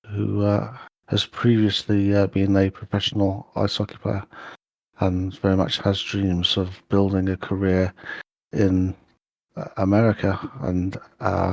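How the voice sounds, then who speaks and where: A man playing a tabletop game, introducing his character in the first person, in a halting way with many pauses. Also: sits low, vocal fry, a little mellow, no echo.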